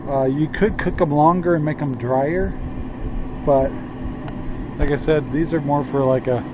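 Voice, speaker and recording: A man's voice: fairly high pitch, unhurried, muffled recording, background noise. An older man hosting a casual cooking vlog, talking in a folksy, rambling way with plenty of "uh"s and little chuckles. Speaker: cooking channel host. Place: outdoor yard beside a grill, and indoors in a cluttered room.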